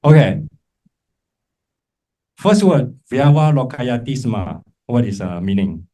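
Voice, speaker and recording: A man's voice: mid-range voice, sing-song, slow pace, mellow. A man teaching a class as a Buddhist monk, informal and encouraging, often checking in with short tag questions. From Malaysia. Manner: encouraging, informal, frequent check-in tags like "right?" and "okay?". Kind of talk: interactive lecture to a class with remote listeners.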